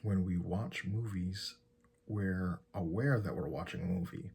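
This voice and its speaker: A man guides a meditation in a deep voice. His delivery is very slow, with gentle instructions and long pauses. The tone is soft and extremely breathy, with a husky edge throughout.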